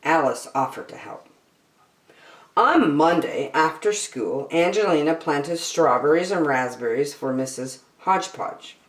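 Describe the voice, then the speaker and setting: Female storybook narrator: deep-pitched, highly expressive, dramatic phrasing for kids, roomy echo. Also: measured pace. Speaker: children's story reader. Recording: echoey, roomy sound.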